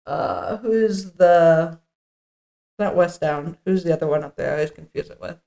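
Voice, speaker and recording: A woman's voice: lowish voice, normal speed, creaky, warm tone. A woman co-hosting a podcast in casual chat, with a playful, jokey manner, sometimes reading from notes. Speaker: podcast co-host.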